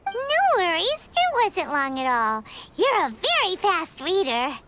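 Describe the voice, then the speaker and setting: A woman voicing a tiny, excitable fairy companion who refers to herself in the third person, in video game dialogue. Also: extremely high pitch, unhurried, slight background noise, full character voice. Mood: reassuring. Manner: speaks of herself by name, bouncy and curious. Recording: game audio with loud music behind.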